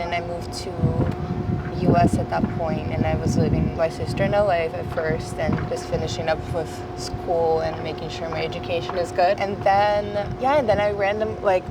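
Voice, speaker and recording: A woman in her twenties with a fairly low voice speaks softly and chattily, often using "like." She is recorded outdoors on a city rooftop. Loud wind and traffic roar fill the background. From Russia. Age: 20s. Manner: soft-spoken, chatty, leaning on "like". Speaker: model, interview guest. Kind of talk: candid conversational interview.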